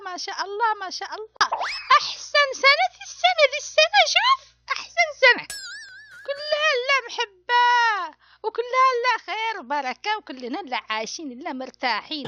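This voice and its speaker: A woman voicing an excitable old Yemeni woman from a cartoon, with an Adeni accent and a repetitive, wailing delivery. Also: very high-pitched, no room sound, full character voice. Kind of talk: cartoon comedy dub. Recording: dull audio with faint noise.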